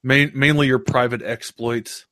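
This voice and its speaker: A man's voice: mid-range voice, steady tone, measured pace, a bit bright, no room sound, clean studio sound. Man hosting a live chat show. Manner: joking, laughs often. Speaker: show host. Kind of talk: casual live-stream chat. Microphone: new studio microphone.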